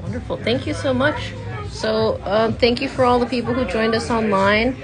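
A woman interviewing a guest on a phone live stream in a bookstore gallery, encouraging her with soft replies. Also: a little high, expressive, normal speed. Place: bookstore art gallery, indoors.

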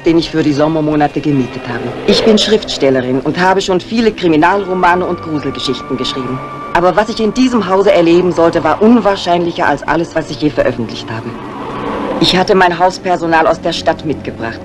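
A woman's voice: sits low, lively intonation, fairly quick, over music. An actress playing a composed, self-assured lady crime novelist in a 1950s radio drama, courteous and dismissive of nonsense. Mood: narrating, composed.

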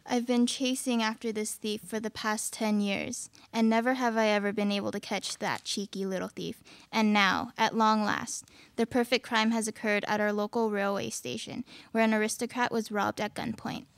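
A woman's voice: medium pitch, level tone, normal speed, vocal fry, no echo, natural voice. A young woman playing a determined detective in a student radio play, with a formal, polite manner. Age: young adult. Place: small indoor studio. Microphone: stand microphone.

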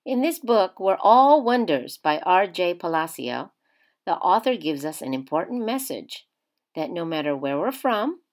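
Slow, animated woman reading to children. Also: mid-range voice. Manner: expressive, addressing young children. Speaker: picture-book read-aloud narrator. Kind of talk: children's storybook read-aloud.

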